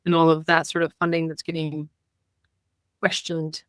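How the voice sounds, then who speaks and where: Participant, woman, even and smooth, measured remark. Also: deep voice, no room sound, studio quality. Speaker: participant commenting.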